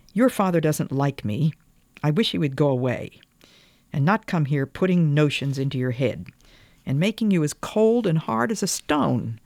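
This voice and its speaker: A woman's voice: low voice, a little mellow, no room sound. A woman voicing a passionate, wounded young wife in an old-fashioned storybook, with emphatic, pleading delivery. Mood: hurt, defiant.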